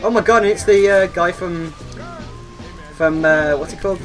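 An excitable young man giving casual commentary while playing a co-op video game with friends. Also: high-pitched, moderate pace. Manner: excitable, exclaiming. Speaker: gaming video host. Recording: game sound and music behind voice. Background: video game sound and music.

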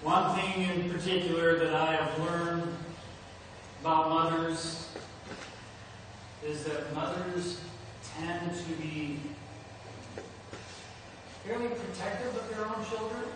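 Male pastor, middle-aged, high-pitched voice, deliberate sermon delivery in a very reverberant church. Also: fairly even intonation. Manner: measured, deliberate delivery with pauses. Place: indoors at a church pulpit. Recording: echoey hall, distant mic, noisy background.

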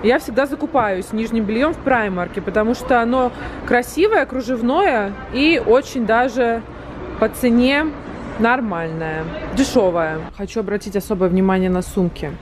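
A woman's voice: on the high side, moderate pace, background noise. A young woman from Latvia chatting animatedly to her viewers, filmed on a phone held at arm's length on a street, in shops and in a car. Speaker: vlogger. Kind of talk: casual vlog to camera. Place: city street and shop, then parked car. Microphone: phone camera at arm's length.

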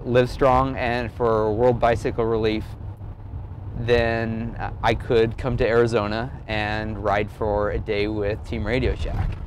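Earnest man telling his story, outdoor noise. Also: on the low side. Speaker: amateur cyclist and blogger being interviewed. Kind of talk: casual personal storytelling to camera.

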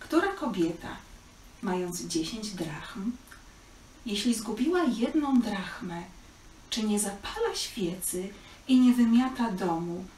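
A woman in her thirties teaching children and reading scripture aloud in a living room. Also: medium pitch. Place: small living room, indoors. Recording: echoey room, slightly distant, faint noise.

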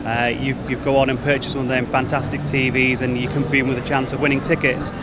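Man pitching TVs on a busy show floor. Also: level tone, fast. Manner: fluent, promotional, product-focused. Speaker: company marketing manager. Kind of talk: trade show interview answers. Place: busy trade show hall. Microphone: handheld mic held by interviewer. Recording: noisy trade show floor. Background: crowd chatter and hall noise.

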